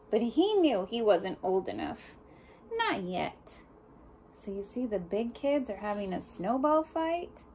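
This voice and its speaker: A woman's voice: a little high, warm tone, a little hiss or hum. A woman reading a picture book aloud to young children, speaking expressively and putting questions and asides to the listeners. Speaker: storybook reader for young children.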